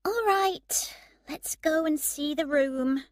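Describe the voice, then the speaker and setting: A woman's voice: fairly high pitch, very animated, unhurried, fairly bright tone, no room sound, studio quality, voice pushed up, exaggerated voice. A woman voicing a frank, unimpressed young woman who speaks directly to her friend in an audiobook story. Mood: weary.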